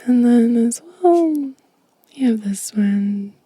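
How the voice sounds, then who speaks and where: A woman speaking in a soft, breathy whisper, gently and intimately, as in an ASMR show and tell close to the microphone. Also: a little high, animated, slow, no room sound. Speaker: host of an ASMR channel.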